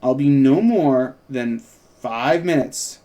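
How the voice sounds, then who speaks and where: A man's voice: mid-range voice, expressive, takes it slowly, mellow. A man reading the part of a self-assured, probing woman reporter at a script table read. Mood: confident.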